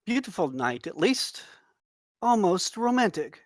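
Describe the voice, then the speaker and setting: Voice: medium pitch, very animated, a little slow, dry recording, clean studio sound. An actor in a comedic script read playing a courteous, long-suffering janitor who serves a princess, speaking in a polite, stiffly formal way. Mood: awkward, wistful.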